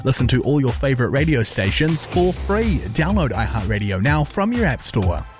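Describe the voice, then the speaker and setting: A man giving a brisk, matter-of-fact radio traffic update. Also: mid-range voice, sing-song, over loud music. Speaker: traffic reporter.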